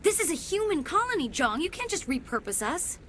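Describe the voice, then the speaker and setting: Nervous young scientist gal in a sci-fi game, high voice, earnest, faint noise behind. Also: female voice, big pitch swings, brisk, fairly bright tone, heavily acted. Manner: earnest, anxious. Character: guilt-ridden, earnest young woman colony researcher. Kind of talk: dramatic video game acting.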